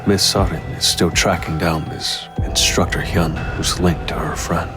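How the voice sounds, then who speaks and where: An actor playing a calm, resolute young male hunter in a game, speaking in a measured, serious way. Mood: brooding, resolved. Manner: measured, low-key, serious. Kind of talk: dramatic game voice acting, inner monologue and dialogue. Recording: loud background music under the voice.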